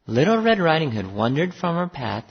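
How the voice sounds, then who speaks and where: An expressive storyteller reads a fairy tale with deliberate phrasing. The pitch swings widely and the voice is husky and creaky, in a muffled recording with long reverb. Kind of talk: scripted fairy-tale narration for English learners.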